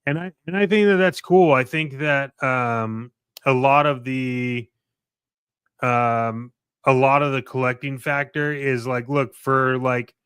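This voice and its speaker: A man with a crisp, bright voice chats as a podcast co-host. He sounds enthusiastic and colloquial, stopping and starting as he makes his points. The sound is very dry. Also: mid-range voice, slow, dry recording. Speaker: podcast co-host discussing collectible toys. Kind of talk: casual unscripted chat.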